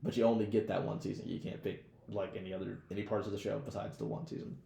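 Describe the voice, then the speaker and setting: A man with a fairly low, gravelly voice in a roomy, echoey space. He talks as a podcast co-host in loose, slangy banter, swearing freely and padding his sentences with "like.". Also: little pitch movement, quick pace, dark tone, slight background noise. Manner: slangy, profane, laughs often, hedges with "like". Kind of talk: casual banter, would-you-rather questions.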